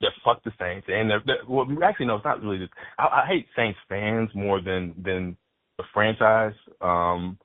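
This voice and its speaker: Deep-voiced man, cursing and animated. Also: moderate pace, dry recording. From Fort Worth, Texas. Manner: animated, profane, repeats words when excited. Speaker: guest. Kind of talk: casual podcast chat. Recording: dry, phone-like band.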